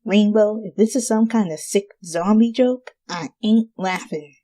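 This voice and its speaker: A woman voicing a stubborn farm pony mare in a story reading, deadpan and folksy with a grumbling manner. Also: medium pitch, measured pace, natural voice. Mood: annoyed, firm.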